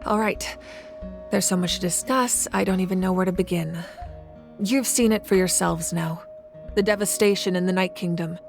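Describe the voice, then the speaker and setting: A woman playing the calm, grave ruler of a fire nation in a video game, speaking in a measured, formal way. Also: mid-range voice, natural voice. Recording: game audio with loud music.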